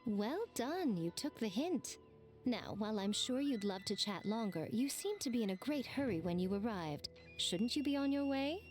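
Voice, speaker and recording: Courteous young woman with a sly tease, fairly high, anime game dialogue. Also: sing-song, moderate pace, music in the background. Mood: teasing, polite.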